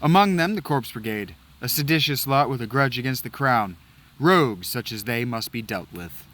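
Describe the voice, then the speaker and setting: A stern male knight commander declaims orders to his apprentices in a formal, booming manner, as a man acts him out. His voice is bright and forceful, swinging widely in pitch as he lays out the mission. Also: expressive, moderate pace, natural voice. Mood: commanding.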